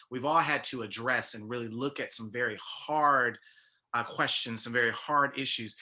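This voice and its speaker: Very bright-voiced male show host. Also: mid-range voice. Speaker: talk show host, interviewer. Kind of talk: conversational broadcast interviewing.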